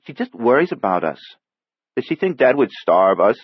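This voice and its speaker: A man plays a girl of nearly twelve in an audiobook, a protective older sister. The delivery is firm, scolding and earnest, raised above the narrator's natural pitch. The sound is slightly dull. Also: sing-song, normal speed, slightly rough, no echo. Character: almost twelve-year-old girl, bossy, protective big sister.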